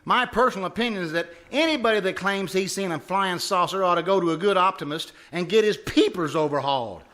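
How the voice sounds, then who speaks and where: A man's voice: high-pitched, brisk, fairly bright tone. A man playing a stubborn, cocksure husband in a 1950s-style radio comedy, folksy, emphatic and scoffing. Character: blustering, stubborn, cocksure husband full of malapropisms. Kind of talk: 1950s radio comedy acting, live re-enactment.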